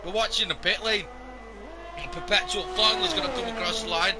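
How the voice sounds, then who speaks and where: A man commentating a live sim racing stream, hoarse and losing his voice, joking wearily with the chat. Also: sits high, animated, a little fast, over music. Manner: weary, self-mocking banter with the chat.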